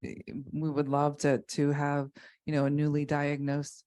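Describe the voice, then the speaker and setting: A woman on a conference panel speaking about how her foundation's board raises funds, in a halting way with many restarts. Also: deep voice, completely flat delivery, warm tone, clean tone. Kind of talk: unscripted panel discussion.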